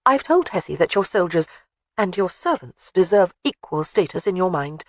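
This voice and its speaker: A woman's voice: fairly low pitch, expressive, normal speed, dry recording, clean studio sound. A woman voicing a spirited young wife in an audiobook who is cheerful, stubborn and insistent.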